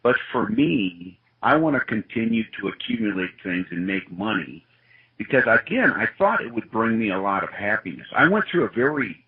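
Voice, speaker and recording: A man's voice: fairly low pitch, big pitch swings, moderate pace, breathy edge. A man who grew up in Wisconsin, a guest in an interview, telling his life story in an earnest, reflective way. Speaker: guest, organization CEO. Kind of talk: personal testimony in an interview. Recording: muffled lo-fi sound.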